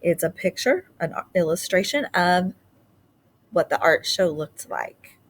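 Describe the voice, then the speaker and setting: A woman's voice: fairly low pitch, animated, measured pace. Woman reading a storybook aloud. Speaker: storybook reader. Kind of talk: read-aloud children's story narration.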